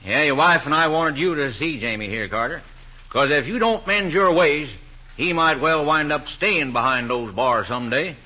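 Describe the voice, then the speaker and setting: An actor playing a folksy small-town sheriff, stern but kindly, in an old-time radio drama. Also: male voice, a little high, animated, moderate pace, bright, forceful tone, heavily acted. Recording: vintage lo-fi radio recording.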